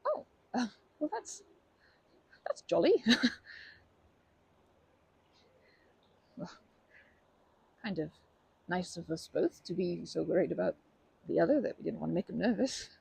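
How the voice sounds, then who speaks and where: A woman playing a timid, kind park regular in an ASMR roleplay, speaking softly in halting, stammering, self-correcting sentences. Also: on the low side, very animated, creaky, husky, exaggerated voice. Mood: shy, concerned. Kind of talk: ASMR roleplay, improvised-sounding intimate monologue.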